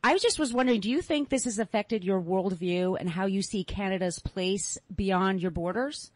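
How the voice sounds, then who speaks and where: A woman's voice: mid-range voice, moderate pace, no room sound. A woman co-hosting a podcast, asking a guest questions in a friendly, conversational way. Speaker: podcast co-host. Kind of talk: casual interview.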